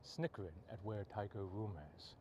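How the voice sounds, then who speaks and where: A man reading poetry aloud as a poet, in measured, deliberate short phrases. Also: lowish voice, dark tone, slight background noise. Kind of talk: poetry reading, recited free verse.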